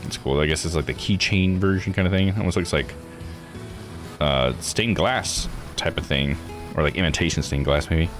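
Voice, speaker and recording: A man's voice: extremely low pitch, normal speed, music in the background. A man streaming a game playthrough with casual commentary, musing aloud in an easygoing way.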